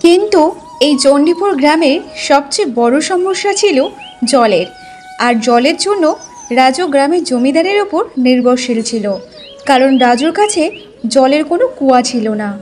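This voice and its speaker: A woman narrator with a high-pitched voice reads a scripted children's tale. Music plays underneath her storytelling. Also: lively intonation, normal speed, a little mellow.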